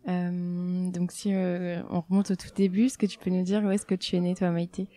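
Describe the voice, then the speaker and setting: A woman hosting a podcast, reading a lively intro and then chatting casually with her guest. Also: fairly low pitch, moderate pace. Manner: upbeat, chatty, uses fillers. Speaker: podcast host.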